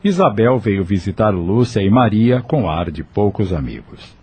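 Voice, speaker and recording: Mellow, expressive male story narrator. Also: fairly low pitch, unhurried, husky, soft background music. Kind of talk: dramatic scripted narration of an audio novel.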